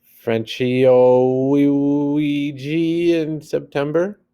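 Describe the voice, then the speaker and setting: A man acts out a nervous, lovestruck seventh-grade boy from a storybook. He mumbles hesitantly, bluffing his way along in a mellow voice. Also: on the high side, little pitch movement, extremely slow, smooth, pitched above the performer's own voice.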